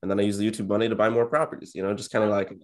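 Young male guest, 20s, deep-leaning voice, unexcitable and introverted, relaxed conversational interview delivery from a home office. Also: rapid-fire, crowd noise. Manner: low-key, introverted, self-described monotone. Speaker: interview guest, real estate agent and YouTuber. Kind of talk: casual interview chat. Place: indoor home office, on a video call.

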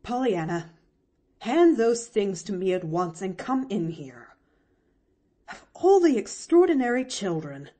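A woman's voice: medium pitch, sing-song, unhurried. A woman doing a stern, clipped spinster aunt. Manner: sharp, clipped, commanding. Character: stern, flustered middle-aged spinster aunt.

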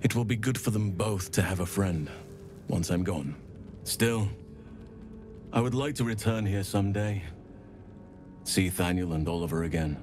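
An actor playing a solemn, gentle middle-aged elven archdruid in a fantasy game, speaking in a measured, earnest, formal way. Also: male voice, low voice, music in the background, close to an everyday voice. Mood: hopeful, tender. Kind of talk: scripted fantasy game dialogue.

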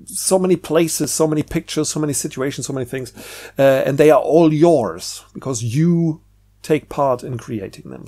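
A man's voice: a little high, a little mellow. A man hosting a casual, reflective monologue, speaking in a hesitant, searching way and repeating words. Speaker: video host.

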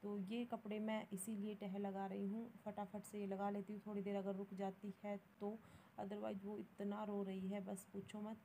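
Even-toned young woman. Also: medium pitch, normal speed, a little hiss or hum, rough recording. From Haryana, India. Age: young adult. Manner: plain, matter-of-fact, mixing in English words. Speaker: vlogger. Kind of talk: casual voice-over narration of daily routine.

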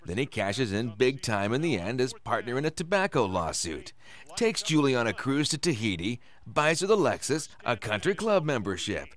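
A man's voice: mid-range voice, very animated, normal speed, close to an everyday voice. A man acting in a radio drama as a sardonic, menacing gunman who taunts his hostage. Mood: sly, casual. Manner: mocking, taunting.

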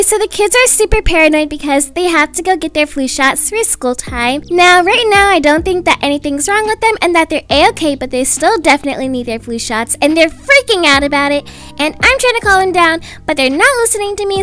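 A young woman tells a roleplay story as a brisk, slightly exasperated mom. Her voice is very high and moves up and down in a lively way, with music underneath. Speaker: storyteller voicing a roleplay video. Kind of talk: animated scripted roleplay narration in everyday voice.

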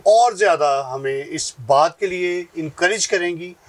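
Male host, slightly high voice. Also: unhurried. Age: middle-aged. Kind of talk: formal religious talk show hosting. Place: small TV studio. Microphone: lapel mic.

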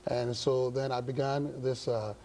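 A middle-aged man with a Ghanaian accent, speaking as an interview guest in measured, hesitant phrases. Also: medium pitch, steady tone, darkish tone, slight background noise. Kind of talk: studio interview, measured explanation. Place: indoor television studio set. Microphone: lapel mic.

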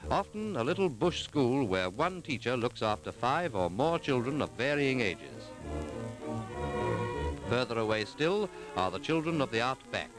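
A man reading scripted narration for a 1940s documentary film in a measured, formal, articulate manner. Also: mid-range voice, sing-song, poor audio. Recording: 1940s film soundtrack with music.

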